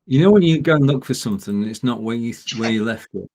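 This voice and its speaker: A man's voice: medium pitch, lively intonation, quick pace, darkish tone. A man from England hosting a casual online chat show, explaining things in a stop-start way over a streaming call. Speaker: show host and producer. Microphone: live streaming video call.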